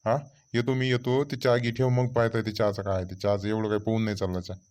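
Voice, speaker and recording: Guy doing a grumbly stressed farmer in a cartoon, vidarbha marathi accent, lowish clean smooth voice. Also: little pitch movement, fast, a little mellow, lightly acted. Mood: fretful, busy. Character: harried village farmer, grumbling and fretful. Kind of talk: comic cartoon dialogue.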